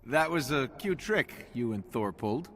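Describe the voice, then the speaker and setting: A man's voice: medium pitch, lively intonation, normal speed, fairly bright tone, slight background noise. A man playing a dry, teasing, unimpressed superhero in casual video game dialogue. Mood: wry, teasing.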